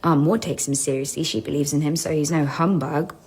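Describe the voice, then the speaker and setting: An actress playing a poised, guarded young London woman in a period radio drama, with an English accent and a measured, cool delivery. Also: sits low, fairly even intonation, darkish tone, slightly rough. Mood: wry, musing.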